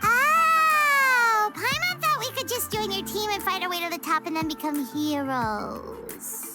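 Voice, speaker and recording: A woman voicing a tiny, perky, childlike female fairy companion in a video game, with a squeaky, chirpy voice. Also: unhurried, loud music behind the voice. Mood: surprised. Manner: chirpy, refers to self in third person. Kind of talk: video game character voice acting.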